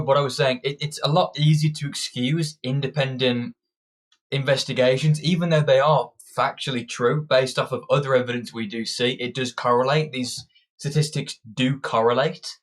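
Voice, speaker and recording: Combative young man ranting. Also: medium pitch. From Britain. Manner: combative, ranting, frequent restarts. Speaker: political commentator. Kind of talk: opinionated monologue.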